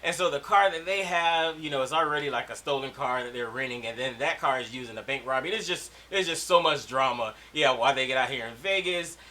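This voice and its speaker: A man's voice: medium pitch, a little fast, hardly any pauses, pushed and bright. A man being interviewed about his film in a studio, talking casually, laughing often and repeating himself. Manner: easygoing, laughs often, repeats words like "exactly". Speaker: guest filmmaker. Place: studio in Las Vegas.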